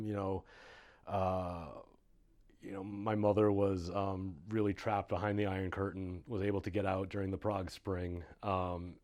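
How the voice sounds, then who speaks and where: A man with a North American accent speaking as an interview guest in a casual podcast, in a hesitant, halting way with many pauses. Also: low voice. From suburbs of Boston, United States. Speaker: interview guest, nonprofit development director.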